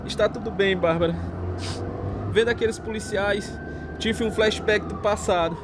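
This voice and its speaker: An actor playing an emotional, humbly grateful husband with a Brazilian accent in a radio drama. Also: male voice, high-pitched, moderate pace, natural voice. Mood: moved, grateful. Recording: clear street and car noise.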